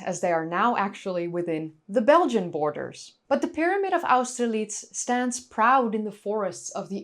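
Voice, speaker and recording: Dutch-accented woman with very lively intonation. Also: mid-range voice. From North Holland, Netherlands. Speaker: history video presenter. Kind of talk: scripted educational narration with casual asides to viewers.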